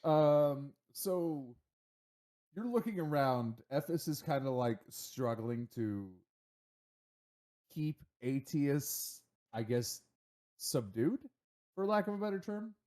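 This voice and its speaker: A man acting as game master, narrating casually and haltingly as he thinks aloud. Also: a little high, animated, warm tone.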